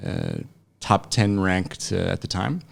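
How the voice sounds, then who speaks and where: A man in his late thirties from France with a very deep voice full of vocal fry. He is a podcast guest, talking conversationally in a halting way with many hesitations. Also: huge pitch swings, fairly bright tone. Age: late 30s. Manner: halting, with frequent hesitations and restarts. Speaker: interview guest, startup founder. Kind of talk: conversational interview answers. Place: podcast studio.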